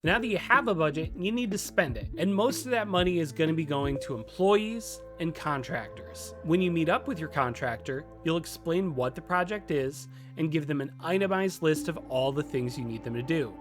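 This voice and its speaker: A man's voice: a little high, animated, normal speed, few pauses. A man hosting a video tutorial, reading scripted instruction in a methodical, explanatory way. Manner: methodical explaining, lists items clearly. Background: background music.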